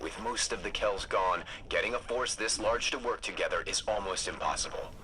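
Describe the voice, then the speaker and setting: An actor playing an alert, businesslike military scout in a video game, brisk and matter-of-fact. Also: male voice, lowish voice, sharp tone, low-quality recording. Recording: game noise behind the voice.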